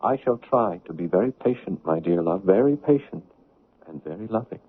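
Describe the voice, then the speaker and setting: Low, solemn controlling fiancé, muffled radio. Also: male voice, lively intonation, husky. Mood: tender, insistent. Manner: measured, insistent, solemn. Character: earnest, controlling, self-righteous son and fiancé. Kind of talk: 1970s radio drama acting and confessional narration. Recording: muffled, phone-like old radio broadcast.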